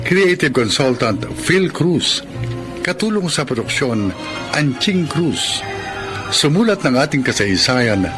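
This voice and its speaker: Filipino male drama host, lively mid-pitched delivery, classic radio announcing over strong music bed. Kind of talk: dramatic scripted narration, classic radio style.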